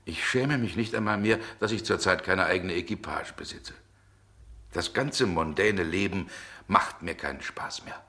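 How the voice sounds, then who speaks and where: An actor playing a brooding, world-weary middle-aged gentleman in a 1960s German radio drama, with genteel, measured diction. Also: low voice. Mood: troubled.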